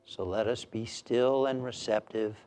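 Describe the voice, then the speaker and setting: A man leading a guided meditation as a minister, speaking in a calm, soothing, measured way with long pauses. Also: medium pitch.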